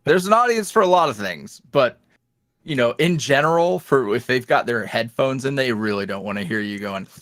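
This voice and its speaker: A man talking casually as a podcast guest, in a rambling, animated, self-deprecating way. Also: medium pitch, normal speed, no echo, studio quality. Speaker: podcast guest, ASMR roleplay voice creator. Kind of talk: casual interview chat.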